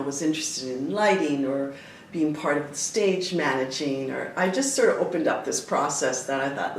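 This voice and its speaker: A woman's voice: low-pitched, distant mic. A woman from Canada whose first language is Italian, a dancer and choreographer talking expressively in an interview, often restarting her sentences. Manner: expressive, animated, many restarts and fillers. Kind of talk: reflective interview talk. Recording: echoey room, speaker away from mic, faint noise.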